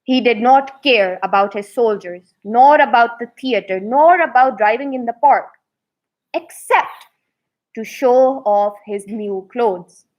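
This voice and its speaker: A woman reading a fairy tale aloud to children with deliberate, expressive emphasis. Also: on the high side, lo-fi sound. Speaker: children's storyteller.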